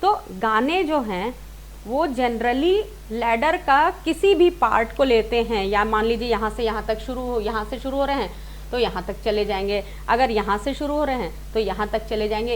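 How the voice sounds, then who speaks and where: High-voiced woman teaching, hissy recording. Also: normal speed. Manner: didactic, repeats and rephrases key points; mixes English words into talk. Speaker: singing teacher. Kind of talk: informal spoken singing lesson with demonstrations. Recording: clear background hiss and noise.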